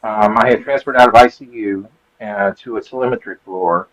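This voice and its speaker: Host, male, low husky voice, halting rambling talk, muffled audio. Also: a bit bright. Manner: rambling, halting, full of fillers and restarts. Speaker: show creator and host. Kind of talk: casual chat on a live show.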